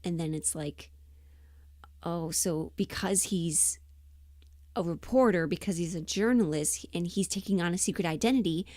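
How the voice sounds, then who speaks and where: A woman chatting casually and emphatically on a livestream, rambling in an animated, opinionated way. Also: fairly low pitch, normal speed, no echo, studio quality. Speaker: livestream host and voice performer.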